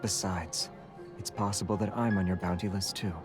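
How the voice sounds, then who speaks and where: An actor as a low-voiced, aloof young hunter. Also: male voice, steady tone, moderate pace, natural voice. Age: young adult. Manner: terse, aloof, restrained. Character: cold, guarded young male fighter, loner bounty hunter. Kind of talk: video game voice acting. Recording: music behind the voice.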